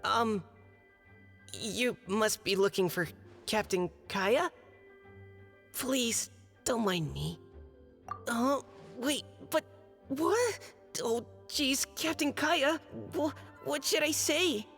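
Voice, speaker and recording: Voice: medium pitch, lively intonation, very slow, creaky, pauses often, fairly bright tone, soft background music, full character voice. An actor voicing a shy, nervous young knight boy in a video game, polite and hesitant, with a stammer. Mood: flustered.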